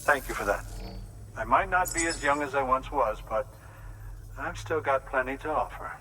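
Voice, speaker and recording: An actor playing a kindly, courteous older doctor who speaks earnestly in a video game. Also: male voice, mid-range voice, moderate pace, sharp tone, a little hiss or hum, natural voice, low-quality recording. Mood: grateful. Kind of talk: video game cutscene acting.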